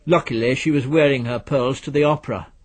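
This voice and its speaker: A man playing a terse, fussy middle-aged husband, husky. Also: medium pitch, steady tone, fairly quick, no echo, lightly acted. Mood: matter-of-fact.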